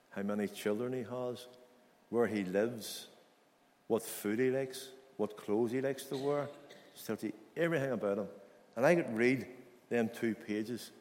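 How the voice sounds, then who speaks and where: A man preaching a sermon in an earnest, storytelling manner, repeating his points for weight. His voice sits fairly low. The sound carries a long hall reverb, as if from a church hall. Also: a little mellow. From Ireland.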